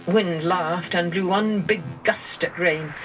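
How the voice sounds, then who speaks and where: Slow, low-voiced woman telling children's stories. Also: soft background music, low-quality recording. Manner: expressive, dramatic storytelling. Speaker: storytelling podcast narrator. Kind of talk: scripted children's story narration.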